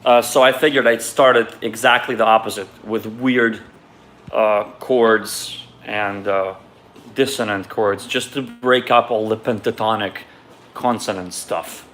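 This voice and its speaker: A man's voice: fairly low pitch, steady tone, measured pace, fairly bright tone, slight background noise. A man from Ukraine, raised in Israel, reads viewer questions aloud on a live stream and answers them in a loose, candid way.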